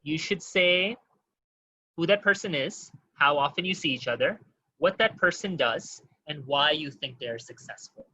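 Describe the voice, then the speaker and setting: A man runs an online exam-prep webinar, moving quickly through his points and talking to viewers in a casual way with plenty of fillers. His voice is bright and sits a little high. The sound is dry and dull, like low-grade webinar audio. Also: expressive, breathy edge, no room sound. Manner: quick, informal, addresses audience as guys, many fillers.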